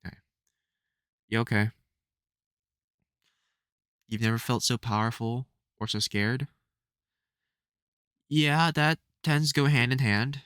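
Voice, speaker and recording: A man playing a soft-hearted, protective vampire hunter mentor in an intimate audio roleplay, speaking fondly and hesitantly with wry exasperation. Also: medium pitch, steady tone, takes it slowly, pauses often, clear, resonant tone, dry recording, studio quality. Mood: explaining, reassuring. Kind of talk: intimate audio roleplay acting addressed to the listener.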